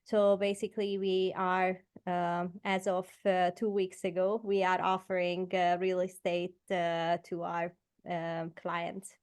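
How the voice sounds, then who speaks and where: A woman's voice: lowish voice, little pitch movement, slow, a little mellow, smooth. A woman in her forties from Hungary with a Hungarian accent, a guest entrepreneur in a podcast interview, speaking with many fillers and restarts. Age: 40s.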